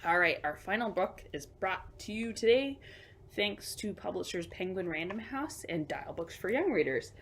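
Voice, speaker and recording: A woman hosting a children's storytime, reading picture books aloud with emphatic delivery and hushed asides. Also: mid-range voice, expressive, moderate pace. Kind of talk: picture book read-aloud for young children.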